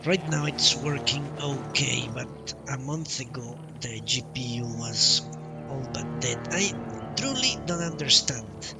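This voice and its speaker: A man doing casual live commentary as a game streamer, rambling in halting, broken-up phrases. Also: mid-range voice, animated, vocal fry. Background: game music.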